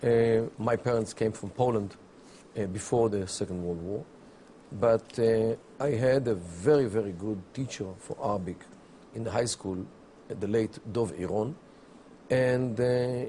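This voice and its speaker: Middle-aged Israeli man, English, gravelly, slow and measured, faint hiss behind. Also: medium pitch, dark tone. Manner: deliberate, with many pauses and fillers. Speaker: interview guest, academic. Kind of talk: measured explanatory interview answers.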